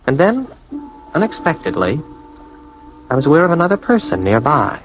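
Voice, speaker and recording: A man's voice: a little high, wildly swooping pitch, moderate pace, lo-fi sound, faint music behind. An actor playing an earnest young advertising man in a radio drama, with an American accent, sometimes narrating his own story. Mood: brooding, dramatic. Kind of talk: old-time radio drama acting, first-person narration.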